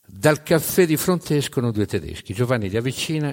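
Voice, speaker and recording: A man narrating history as dramatic storytelling, in emphatic, measured phrases with pauses. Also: mid-range voice, expressive, darkish tone. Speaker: narrator of a storytelling stage show. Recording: long hall reverb.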